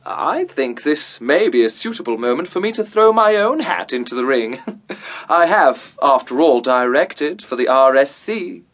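A man's voice: medium pitch, animated, a little slow, fairly bright tone. Pompous, swooping theatrical man. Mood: self-important. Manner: pompous, theatrical, grandly enunciated. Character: pompous, self-important amateur theatre director, a man. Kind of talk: comic radio drama acting.

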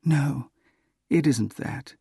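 A man voicing a proud, composed young woman in a storybook, gently reproving as she turns down a suitor. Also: medium pitch, very slow, gravelly, slightly rough, dry recording, clean studio sound, pitched above the performer's own voice, heavily acted. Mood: gentle.

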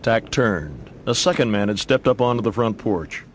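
A man's voice: fairly low pitch, lively intonation, normal speed, a little hiss or hum. A man narrating a dramatized Western story in scripted audiobook style.

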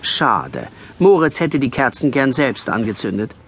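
A man narrating a scripted children's story with lively storytelling delivery. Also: mid-range voice, a little slow, slight background noise. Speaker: audiobook narrator.

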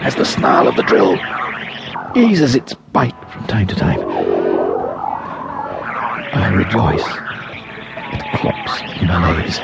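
A man narrating an audiobook in a dramatic first person, theatrically savouring his words with long pauses. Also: low voice, huge pitch swings, gravelly, loud music behind the voice.

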